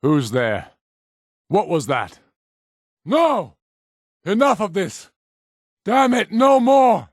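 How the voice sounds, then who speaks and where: An actor playing a proud, commanding Nord rebel leader in a fantasy video game, with a grave, authoritative manner. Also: male voice, high-pitched, big pitch swings, extremely slow, long pauses, no echo, studio quality, exaggerated voice. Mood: aggressive, shouting.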